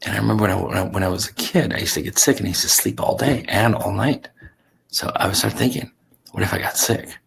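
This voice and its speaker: A man with a gravelly, congested voice, talking as a sleep coach in a relaxed, reflective way with fillers and restarts. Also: lowish voice, fast. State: sick, congested with sore throat. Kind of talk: solo talk to camera.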